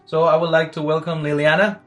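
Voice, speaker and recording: A man hosting a faith podcast. He chats easily, asks his guest open questions and slows down to read scripture. Faint music sits under his voice. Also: a little high, little pitch movement. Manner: warm welcoming, asks questions, reads scripture slowly. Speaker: podcast host. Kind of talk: faith podcast conversation and Bible reading.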